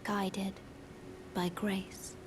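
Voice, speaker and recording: A woman playing a solemn young bodiless maiden in a fantasy video game, speaking in a hushed, measured, archaic way. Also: mid-range voice, little pitch movement, noisy background. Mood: formal, gentle.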